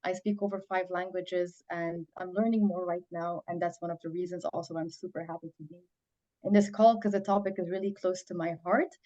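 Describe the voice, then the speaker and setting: A woman from Iran, raised in Belgium, introduces herself on a video call in an upbeat, eager way. Her voice is fairly low, and the call audio is dull and lo-fi. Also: flat delivery, a little fast, dark tone. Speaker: panelist. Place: home office.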